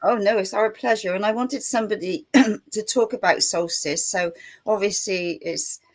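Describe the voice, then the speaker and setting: A woman's voice: medium pitch, normal speed. A woman hosting an online talk show, chatty and rambling, laughing often as she introduces her guest. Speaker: host. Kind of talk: casual chat.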